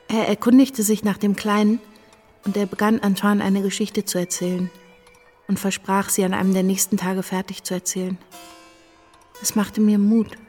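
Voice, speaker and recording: An actress playing a revolutionary's wife who narrates events in a measured, dryly observant way in a radio drama. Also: female voice, mid-range voice, darkish tone, natural voice. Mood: reflective.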